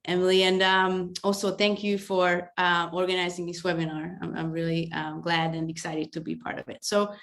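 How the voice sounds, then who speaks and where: A woman in her forties with a Hungarian accent, a history professor giving halting, hesitant panel remarks over a video call, often laughing. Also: on the low side, little pitch movement, vocal fry, fairly bright tone. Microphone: video call mic.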